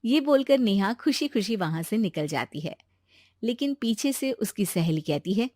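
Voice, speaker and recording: A woman's voice: mid-range voice, animated, moderate pace, darkish tone, no room sound, studio quality. A woman narrating a scripted moral story as a storyteller.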